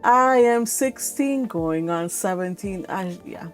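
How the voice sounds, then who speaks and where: A woman's voice: mid-range voice, lively intonation, slow, faint music behind. A woman hosting a read-along stream, chatting casually and rambling with frequent laughter. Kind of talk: casual chat with participants.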